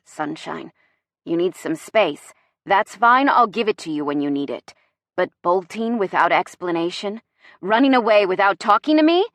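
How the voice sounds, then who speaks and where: A woman voicing a bossy but warm and protective young boyfriend, soft-spoken and wry with an intense edge. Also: high-pitched, creaky, dry recording, studio quality, full character voice. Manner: soft-spoken, wry, growling when strained.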